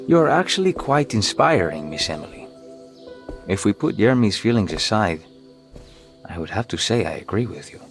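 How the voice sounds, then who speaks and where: Deep-voiced, courteous, mysterious man. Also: big pitch swings, normal speed. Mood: courteous, cautioning. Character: grave, courteous, mysterious man warning a young woman. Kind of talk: video game cutscene acting. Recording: background music behind the voice.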